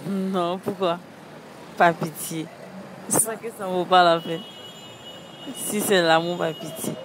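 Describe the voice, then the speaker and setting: Vox pop, woman, lowish voice, hesitant and halting, handheld mic, clear traffic noise. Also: fairly even intonation. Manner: hesitant, halting, short phrases. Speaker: street interviewee. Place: city street at night beside traffic. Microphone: handheld mic held by interviewer. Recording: street traffic noise outdoors.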